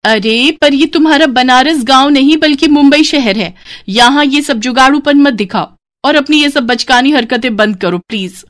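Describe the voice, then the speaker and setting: A woman's voice: sits high, moderate pace, fairly bright tone, voice pushed up. A woman voicing a snooty young city sister-in-law who scolds haughtily and sprinkles in English words, in a family story. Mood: annoyed scolding.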